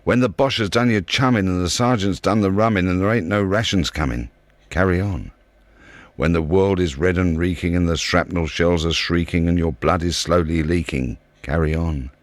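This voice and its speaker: A man's voice: low-pitched, moderate pace, no echo. A man reciting poetry with a rhythmic, emphatic delivery.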